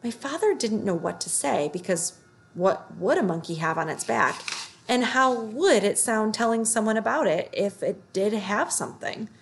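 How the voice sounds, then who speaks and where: A woman's voice: medium pitch, animated, moderate pace, a little hiss or hum. A woman in her thirties narrating a children's story, read aloud from the book at home. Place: small living room, indoors.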